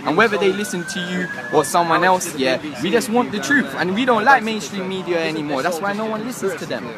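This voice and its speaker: Insistent man in a loud crowd. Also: high voice, expressive, fairly quick. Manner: earnest, insistent, repetitive. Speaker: supporter in the crowd. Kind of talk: casual street chat. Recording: loud crowd noise outdoors.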